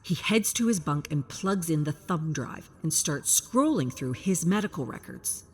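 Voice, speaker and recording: A woman's voice: sits low, expressive, measured pace, a little hiss or hum. A woman reading a scripted story as a dramatic, suspenseful narrator. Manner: present-tense suspenseful storytelling.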